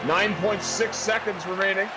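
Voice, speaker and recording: A man calling a hockey video game play by play, getting loud and excited when a goal goes in. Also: normal speed, over loud music, low-quality recording. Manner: excitable play-by-play, jumps high on goals. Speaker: play-by-play commentator.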